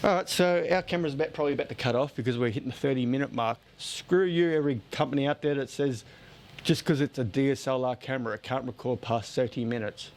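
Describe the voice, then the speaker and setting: A man's voice: medium pitch, quick pace. A man with an Australian accent chatting casually as a podcast host, blunt and joking as he rambles along. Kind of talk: casual chat.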